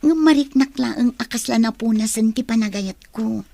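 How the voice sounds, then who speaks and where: Young female student, high voice, pensive and evasive, audio drama romance role. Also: moderate pace, creaky, mellow, dry recording. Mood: troubled, resigned. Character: young female student, troubled and evasive about fading love. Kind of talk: Filipino radio drama acting.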